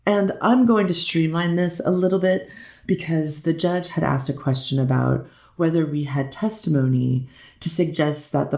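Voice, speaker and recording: A performer playing a hesitant, deferential defense lawyer who pleads to a judge in halting, repetitive phrases, in a courtroom readers theater piece. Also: medium pitch, creaky. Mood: flustered, deferential. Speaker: defense attorney. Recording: roomy, echoing voice recording.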